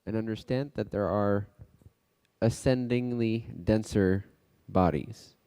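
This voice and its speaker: Slow, low-voiced man guiding meditation, heavy reverb. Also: dark tone, clean tone. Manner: measured, halting delivery in short phrases with pauses. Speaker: meditation guide. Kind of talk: guided meditation. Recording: long hall-like reverb.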